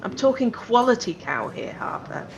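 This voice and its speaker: A woman's voice: fairly high pitch, big pitch swings, moderate pace, creaky, a little hiss or hum. A woman playing a cynical, foul-mouthed hotel worker in an audio drama, blunt and sarcastic. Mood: indignant.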